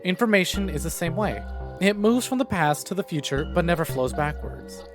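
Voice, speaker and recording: A man voicing a cryptic, self-assured young woman in a visual novel, in a deliberate monologue. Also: high voice, animated, over music.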